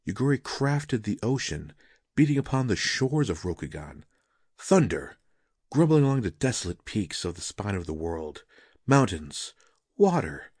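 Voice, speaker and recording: A man's voice: on the low side, darkish tone, no echo, studio quality. A man reading a scripted fantasy story as a dramatic narrator.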